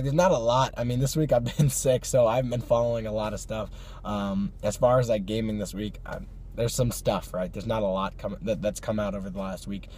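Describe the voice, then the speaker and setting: A hoarse young man with a cold, chatting casually to camera from his parked car at night, with many fillers and small laughs. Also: on the low side, mellow, slight background noise. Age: young adult. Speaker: vlog host. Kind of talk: casual car vlog chat. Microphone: phone or camera on the dashboard.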